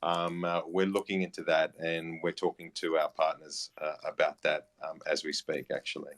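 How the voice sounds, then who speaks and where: A man's voice: extremely low pitch, little pitch movement, moderate pace, dry recording. A man answering as an interview guest, explaining things off the cuff in a hesitant way. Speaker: guest, company representative.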